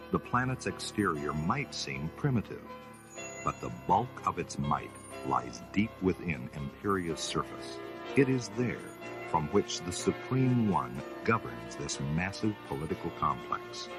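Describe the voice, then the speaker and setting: An actor as the solemn, portentous narrator of a sci-fi audio drama, reading dramatic scripted narration. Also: male voice, deep voice, very animated, unhurried, over music, full character voice. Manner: grand, portentous. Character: solemn epic narrator of a space saga.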